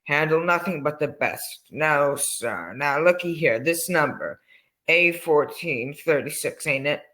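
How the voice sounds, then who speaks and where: A man's voice: on the high side, expressive, a little slow, bright, forceful tone. A man acting in an old-time radio drama as a folksy, courteous, rambling old coffin salesman with a sinister politeness. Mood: proud sales patter. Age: older.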